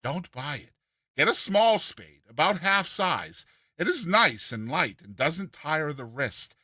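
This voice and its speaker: Male narrator for humorous short fiction: crisp forward brightness, mock-earnest theatrical reading, very dry studio-like sound. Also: on the high side, no echo. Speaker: reader and narrator of a short story. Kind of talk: dramatized storytelling narration of a humorous classic essay.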